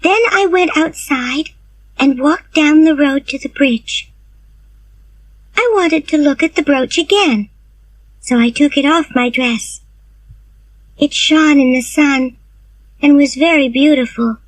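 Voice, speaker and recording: A woman voicing an eager young orphan girl who talks in a high, earnest, pleading way. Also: slow pace, pauses often, distant mic, low-quality recording. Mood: confessing.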